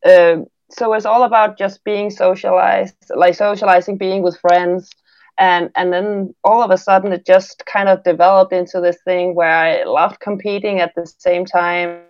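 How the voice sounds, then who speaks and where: A woman's voice: on the low side, level tone, normal speed, a little mellow, dry recording, dull audio. A woman from Denmark with a Danish accent, speaking English in a casual interview, reflective and prone to restarting her sentences. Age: 30s. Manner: reflective, with frequent restarts and laughs. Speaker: guest, former Olympic swimmer.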